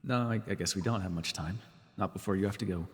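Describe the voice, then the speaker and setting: A man's voice: low-pitched, quick pace, husky. A man playing a smug, teasing telepath supervillain who mentors a hero, in a wry, theatrical yet conversational ASMR roleplay. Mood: dry, sardonic.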